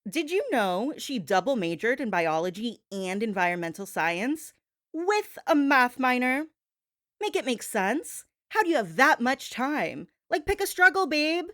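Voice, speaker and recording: A woman with a fairly high voice hosts a gossip-show parody in a sassy, theatrical way. Her pitch swings widely as she stretches key words for emphasis. The sound is close and dry. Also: very animated, fairly bright tone, no echo. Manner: sassy, theatrical, drawn-out emphasis, addresses listener as babe. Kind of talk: performed hypnotic gossip monologue, talk-show homage.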